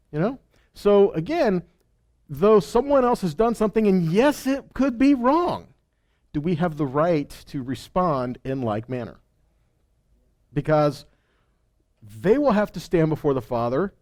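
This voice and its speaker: A man's voice: a little high, warm tone. A man preaching an informal Bible teaching in an emphatic, conversational way, often tossing out rhetorical questions.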